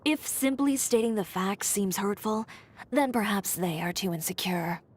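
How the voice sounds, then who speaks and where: A woman's voice: medium pitch, expressive, normal speed, a little mellow, breathy edge. A woman voicing a blunt, haughty young fashion designer in a video game, speaking in a curt, dry and self-assured way. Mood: dismissive. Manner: curt, dry, matter-of-fact, coolly condescending. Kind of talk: scripted game character voice lines.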